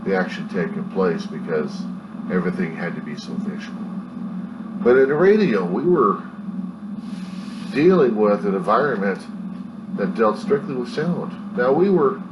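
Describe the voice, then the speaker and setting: A middle-aged American man giving a halting, meandering, reflective monologue of reminiscence at home. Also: fairly low pitch, sing-song, dull audio. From Southern California, US. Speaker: vlogger. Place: small cluttered room at home. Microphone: webcam a few feet away. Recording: distant mic with loud room hum.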